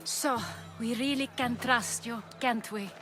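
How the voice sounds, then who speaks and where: A woman's voice: fairly high pitch, steady tone, measured pace, music in the background, natural voice. A woman playing a guarded, shrewd but concerned woman in a video game. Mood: concerned, relieved.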